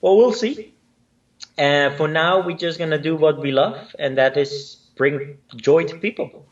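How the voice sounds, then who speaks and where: A man's voice: a little high, unhurried, muffled recording. Man, native Spanish and Dutch speaker, English as guest, hesitant with fillers, distant video call sound. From Spain. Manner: hesitant, many fillers and restarts. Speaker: line dance instructor, guest. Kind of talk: casual interview chat.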